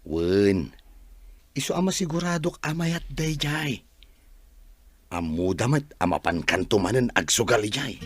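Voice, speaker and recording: An actor playing an easygoing, persuasive gambling buddy in an Ilocano radio drama. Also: male voice, mid-range voice, very animated, measured pace, pauses often, husky, no echo. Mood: coaxing, assured.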